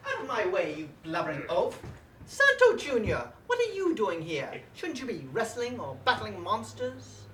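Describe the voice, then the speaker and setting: A woman plays a sly male villain professor in a comedy sketch, purring mock-polite lines that swing widely in pitch. The voice is bright and edged, heard from a little way off in an echoing room. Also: wildly swooping pitch, normal speed, recorded from a distance, slight background noise. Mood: suave. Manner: suave, theatrical, mock-polite with sudden menace. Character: sly male villain professor who runs a wax museum. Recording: roomy echo, voice set back from the mic.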